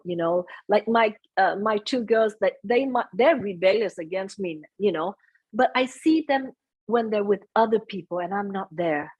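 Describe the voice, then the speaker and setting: Soft-spoken, husky middle-aged woman. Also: mid-range voice, poor audio. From United States. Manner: soft-spoken, switches into Vietnamese phrases. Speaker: guest. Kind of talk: conversational interview.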